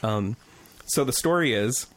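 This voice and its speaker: A man from Oregon hosting a casual podcast, telling long stories with a thin, squeaky voice and a broken cadence full of pauses and ums. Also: lively intonation. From Oregon, USA. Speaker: podcast host. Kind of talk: casual storytelling chat.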